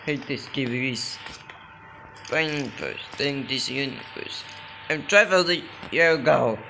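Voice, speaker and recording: A man mumbling halting, sweary commentary while playing a game. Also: mid-range voice, vocal fry, background noise.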